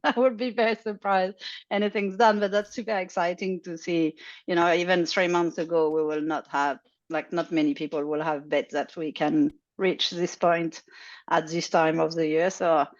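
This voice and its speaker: A woman's voice: fairly low pitch, steady tone, moderate pace. A woman from a charging network giving a chatty, explanatory update in a casual online Q&A, with many "uh" fillers. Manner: chatty, explanatory, frequent uh fillers, laughs lightly. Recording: dull lo-fi audio.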